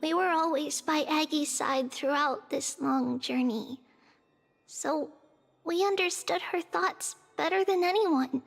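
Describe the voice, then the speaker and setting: A small, tender girl companion from a fantasy game. Her voice is very high and slow, with a creaky edge. She speaks gently and consolingly, in a long reverberant space. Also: faint music behind, heavily acted. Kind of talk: scripted game voice acting.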